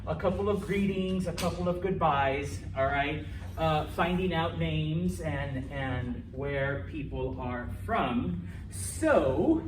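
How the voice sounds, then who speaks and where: A male language instructor giving a relaxed classroom lecture, joking and checking in with students often. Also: on the high side. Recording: echoey classroom from a distance, faint noise.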